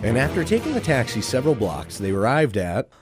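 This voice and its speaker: A man's voice: medium pitch, wildly swooping pitch, brisk, over music. A man narrating a scripted adventure story.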